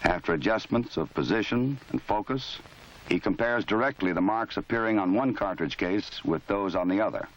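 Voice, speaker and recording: A man giving formal, measured scripted narration for an old training film. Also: on the low side, slight background noise. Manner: measured, formal, matter-of-fact. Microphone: voice-over. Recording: dull lo-fi 1970s film soundtrack.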